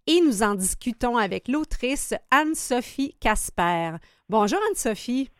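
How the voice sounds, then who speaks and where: A woman's voice: on the high side, animated, a little slow, no echo, clean studio sound. A woman radio host, interviewing a guest with frequent hesitant fillers.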